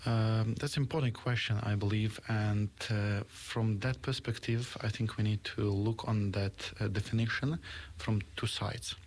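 Husky, gravelly low-voiced man from Ukraine. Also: steady tone. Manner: halting, searching for words, earnest. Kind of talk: conversational interview.

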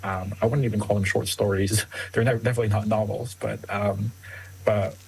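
A man from the Philippines whose first language is Tagalog, answering interview questions as an author, with many pauses and ums. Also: fairly low pitch, flat delivery, vocal fry, a little hiss or hum, low-quality recording. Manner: hesitant, many ums, soft laughs. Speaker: author guest.

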